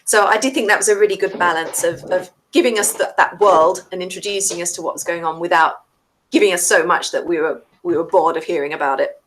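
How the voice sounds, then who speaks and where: A woman co-hosting a casual livestream book discussion in an agreeable, measured manner. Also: lowish voice, fairly bright tone, rough recording. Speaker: co-host of book read-along.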